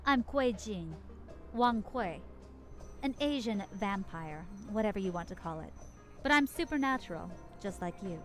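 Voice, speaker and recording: A woman's voice: mid-range voice, lively intonation, normal speed, soft background music, close to an everyday voice. An actress voicing a brash, streetwise vampire woman in a video game, with a blunt, playful swagger. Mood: cool, defiant.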